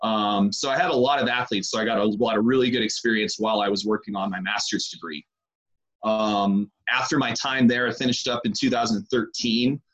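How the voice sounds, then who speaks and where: A man, a strength coach talking through his career in a casual interview, easygoing and full of pauses. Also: on the low side, fairly even intonation, a bit bright, rough recording. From British Columbia, Canada. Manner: easygoing, unhurried, lots of pauses. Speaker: strength and conditioning coach, guest.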